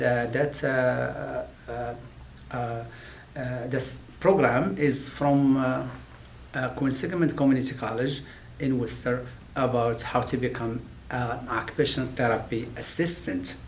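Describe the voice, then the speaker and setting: Brief: middle-aged non-native English man, professor in an interview, hesitant with constant "uh"s, echoey room, light hiss. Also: mid-range voice. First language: not English. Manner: halting, many "uh" fillers and restarts. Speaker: occupational therapy professor. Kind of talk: interview talk about his field.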